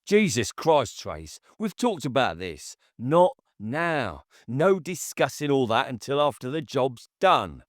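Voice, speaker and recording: A man's voice: medium pitch, big pitch swings, slow, hardly any pauses, no room sound, studio quality, pitched above the performer's own voice. A man reading an audiobook, voicing a cunning, controlling man who turns from coaxing to hard and dismissive. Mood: angry. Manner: cajoling then hard and dismissive. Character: controlling, menacing grown man, cunning and short-tempered. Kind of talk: audiobook character voice.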